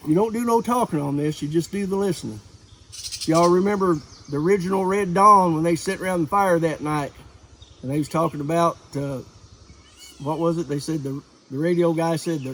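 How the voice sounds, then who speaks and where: A middle-aged man speaks in a fairly high voice. He explains things casually, in folksy, plain words, like the host of a homemade how-to video. Some background noise runs behind him. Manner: folksy, plain-spoken, colloquial. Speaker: host of a preparedness channel. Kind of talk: casual instructional vlog.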